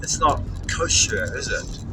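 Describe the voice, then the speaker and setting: A man's voice: unhurried, distant mic, background noise. A man from New Zealand with a Kiwi accent, rambling bluntly and swearing freely as he chats to camera while driving his car. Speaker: vlogger. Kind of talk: rambling driving vlog chat. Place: inside a moving car.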